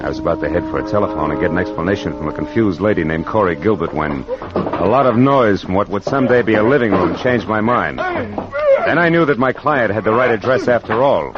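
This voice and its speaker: Casting: a wry American detective narrator, deep and punchy, muffled old-time radio drama sound. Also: male voice, big pitch swings, a little fast. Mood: wry, narrating. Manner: sardonic, punchy. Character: wry hard-boiled private detective narrator. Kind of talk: 1940s radio noir narration. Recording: muffled vintage radio, music behind.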